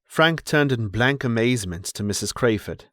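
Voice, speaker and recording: A man narrating an audiobook as a measured, dramatic storyteller reading scripted literary prose. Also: medium pitch, expressive, a bit bright, no echo, clean studio sound.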